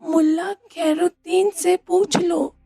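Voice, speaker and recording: A woman playing a defiant, shrewd old village widow in an audio play, speaking in a deliberate, challenging way. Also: very high voice, steady tone, gravelly, mellow, faint music behind, heavily acted. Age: older.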